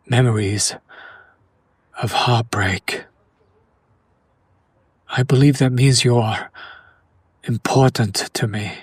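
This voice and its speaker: A man playing a centuries-old noble vampire in an intimate audio roleplay, formal and theatrical, tormented and romantic, with hushed, dramatic pauses. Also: medium pitch, slightly rough, no room sound. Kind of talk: intimate audio roleplay monologue addressed to the listener.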